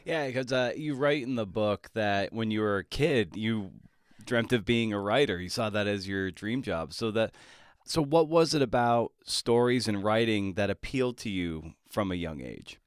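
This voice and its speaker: Low-voiced man hosting a casual interview. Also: lively intonation, normal speed, clear, resonant tone, no room sound, clean studio sound. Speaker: podcast host interviewer.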